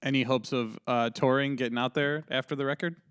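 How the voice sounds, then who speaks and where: A man's voice: mid-range voice, fairly even intonation, brisk, fairly bright tone, clean tone, dry recording, studio quality. A man hosting a podcast, easygoing and agreeable in casual chat. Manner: easygoing, agreeable, laughs often. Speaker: podcast host.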